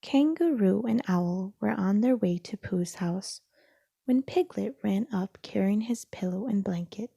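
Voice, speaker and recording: A woman reads a children's story aloud at an unhurried pace. Her voice is husky and mellow, recorded dry and close. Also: mid-range voice, expressive, no room sound. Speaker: storybook reader.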